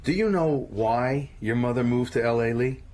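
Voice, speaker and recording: An actor playing a big, patient police sergeant in a radio drama, soft-spoken and reassuring. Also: male voice, mid-range voice, normal speed, natural voice. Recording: noisy ambient background.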